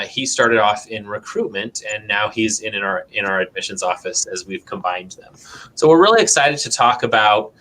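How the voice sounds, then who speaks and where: A man's voice: lowish voice, sharp tone, poor audio. A man hosting a college talk show, chatty and upbeat, laughing easily as he introduces guests. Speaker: host. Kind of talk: campus talk show, casual interview.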